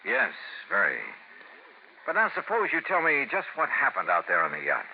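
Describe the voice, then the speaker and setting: Hardboiled radio detective, swinging pitch. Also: male voice, wildly swooping pitch, normal speed, background noise. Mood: polite probing. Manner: clipped, hardboiled, storytelling. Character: hardboiled male freelance insurance investigator, wry and probing. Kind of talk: 1950s radio detective drama, first-person narration. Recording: tinny vintage radio recording.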